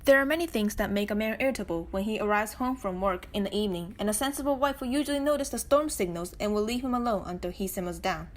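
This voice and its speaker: A woman reading a children's story aloud as an expressive narrator. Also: medium pitch, fast talker, hardly any pauses. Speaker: volunteer audiobook reader.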